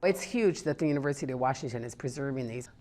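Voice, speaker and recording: A woman's voice: a bass voice, lively intonation, quick pace, dark tone. A woman talking unscripted as an interviewee in a documentary.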